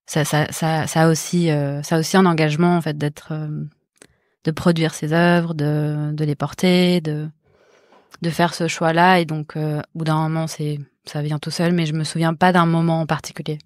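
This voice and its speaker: A woman in her thirties, a visual artist, thinks aloud as a podcast guest. Her voice sits low and stays flat. She pauses often while she searches for words, and the sound is dry and close. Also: no room sound. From born in the Netherlands, raised in Marseille. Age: 30s. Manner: many fillers and restarts, soft and reflective. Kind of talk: hesitant interview talk.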